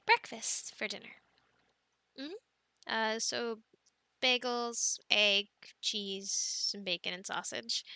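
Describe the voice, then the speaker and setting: Casting: actress for an affectionate, devout young girlfriend in an intimate audio roleplay; bright, leisurely delivery, giggly and hesitant. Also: on the high side, no room sound, full character voice. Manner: giggly, hesitant, chatty with fillers and pauses. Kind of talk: soft girlfriend roleplay, casual improvised-sounding chat.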